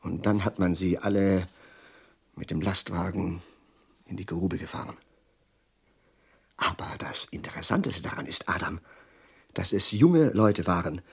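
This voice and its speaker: An actor playing a bitter, sardonic middle-aged professor prophesying doom in a German radio drama, with a husky, declamatory delivery. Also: male voice, lowish voice, heavily acted. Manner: declamatory, sardonic, lingering on words with sudden hushes.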